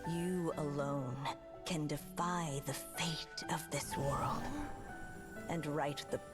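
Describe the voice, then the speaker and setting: A dying storyteller in a game, tender and motherly. Her voice sits a little low and moves very slowly, soft and solemn. Prominent music plays behind her. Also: steady tone. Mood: tender farewell. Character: gentle dying woman storyteller, tender motherly writer saying farewell. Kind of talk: dramatic video game voice acting. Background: loud music.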